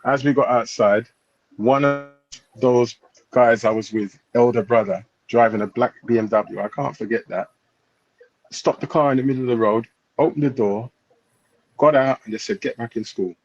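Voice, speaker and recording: Male panel guest, husky, dull lo-fi line; anecdotal storyteller. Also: medium pitch, normal speed, darkish tone. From England. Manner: anecdotal, checks in with listeners, drops his voice then rises.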